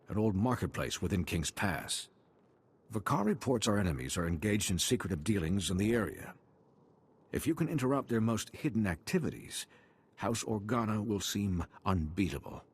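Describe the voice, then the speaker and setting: An actor playing a courtly male house official in a video game, with a formal, measured manner. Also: lowish voice, big pitch swings, slightly rough. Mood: admiring, resolute.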